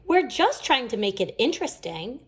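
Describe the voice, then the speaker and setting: A woman puts on a young brother bear from a storybook. The voice is lifted high and bounces around in pitch as he grumbles and protests indignantly. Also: very animated, moderate pace. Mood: grumbling. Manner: complaining, protesting. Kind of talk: children's storybook read-aloud.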